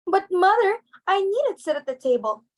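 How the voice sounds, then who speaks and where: A flustered young society daughter with a high voice, played by a woman. She is eager and anxious, pleading excitably in the theatrical manner of a stage comedy reading. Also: normal speed. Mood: defensive, pleading.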